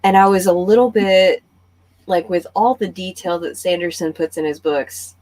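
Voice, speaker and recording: A woman's voice: lowish voice, a little mellow, low-quality recording. A woman hosting a casual book club discussion, talking conversationally and thinking aloud as she goes.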